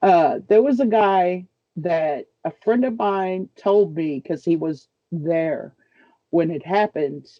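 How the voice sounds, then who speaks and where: A woman's voice: lowish voice, slow pace, no echo, dull audio. A woman chatting informally and bluntly, telling an anecdote. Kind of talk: casual chat, anecdote.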